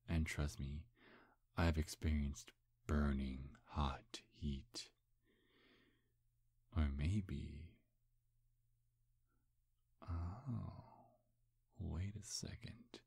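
A man playing a smug, seductive demon in an intimate ASMR roleplay, breathy and husky, teasing in a hushed, hypnotic way. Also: a bass voice, steady tone, very slow, pauses often, no echo, exaggerated voice. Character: seductive, smug male demon posing as a handsome gentleman.

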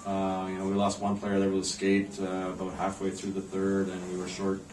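A North American man in his forties, a coach answering post-game questions in a measured, understated way with hesitant pauses. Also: sits low, monotone. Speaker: head ice hockey coach. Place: indoor press room in front of a backdrop. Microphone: camera microphone at a distance. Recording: roomy, distant mic, clear background noise.

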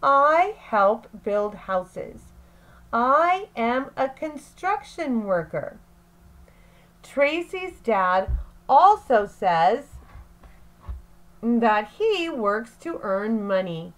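A woman teacher reading aloud to young children in a deliberate, phrase-by-phrase way, as in an expressive picture-book lesson. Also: fairly high pitch.

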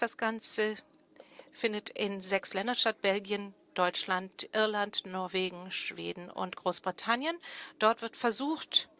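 A woman's voice: medium pitch, pushed and bright. A woman in her thirties from Germany, moderating a panel and presenting a research project on a large conference stage with a lectern microphone, explaining steadily with a few fillers and self-corrections.

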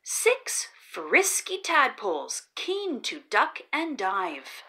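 Lady reading a kids book out loud, super slow and singsongy, bright voice. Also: on the high side, wildly swooping pitch. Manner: slow, sing-song reading with dramatic pauses before each number. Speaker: children's book reader, channel host. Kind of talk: read-aloud storytelling for kids.

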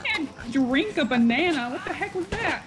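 A woman's voice: sits high, expressive, normal speed. A woman playing a co-op game as a teammate, chatting casually and reacting with exclamations. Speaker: co-op gaming teammate. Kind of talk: casual gameplay chat. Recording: loud game noise behind voice.

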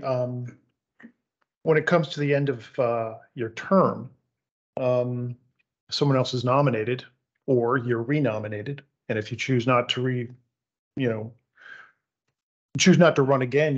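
A man at a town commission meeting explaining in a measured way how chair elections work. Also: mid-range voice. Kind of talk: explaining procedure. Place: town council chamber. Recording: dull lo-fi sound.

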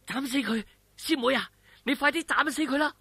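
A young swordsman hero, acted out by a male storyteller shouts high and sharp with a Hong Kong Cantonese accent. He is urgent, cunning and goading, straight out of a martial arts tale. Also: moderate pace, gravelly, no echo, exaggerated voice.

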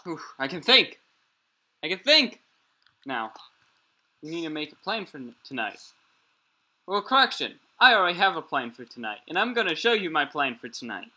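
A man's voice: on the high side, big pitch swings, moderate pace, long pauses, pushed and bright. A man improvising in-character narration for a game roleplay, talking to himself in explanatory fragments. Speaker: player in a Minecraft roleplay series. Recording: clearly noisy amateur game recording with clicks.

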